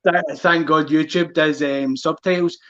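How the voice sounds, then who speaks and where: A man in his thirties with a Glaswegian accent, hosting a casual live-stream chat with a guest, chatty and quick to laugh. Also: a little high, steady tone, a bit bright. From Scotland. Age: 30s. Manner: chatty, laughs easily, local words like aye and wee. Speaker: host. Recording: dull lo-fi live stream audio.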